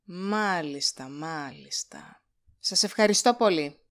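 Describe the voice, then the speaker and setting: A woman's voice: fairly low pitch, animated, natural voice. A woman playing a nosy, impatient publishing director in an audio drama, probing and falsely polite. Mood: prying.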